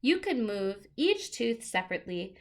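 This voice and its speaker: A woman's voice: fairly high pitch, big pitch swings, moderate pace. A woman teacher reading a children's nonfiction picture book aloud, expressively stressing key words and adding short explanatory asides.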